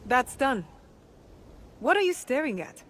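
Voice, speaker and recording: A woman's voice: sits high, sing-song, measured pace, fairly bright tone, slight background noise, full character voice. A woman playing a blunt, wary young shinobi in a video game, with dry, terse delivery. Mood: dry teasing. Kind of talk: video game cutscene voice acting.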